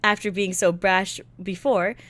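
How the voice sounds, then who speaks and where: A woman's voice: mid-range voice, level tone, a little slow, fairly bright tone. A woman giving a casual, slangy recap commentary as a content creator, with sarcastic, exasperated asides and theatrical emphasis. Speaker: content creator reviewing a visual novel.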